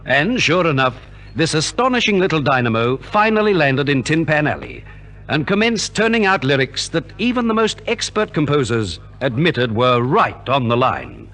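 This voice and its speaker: A man's voice: a little high, huge pitch swings, normal speed, a bit bright. A man narrating a music program as host in a theatrical, polished 1950s radio announcer style. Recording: old 1950s radio recording.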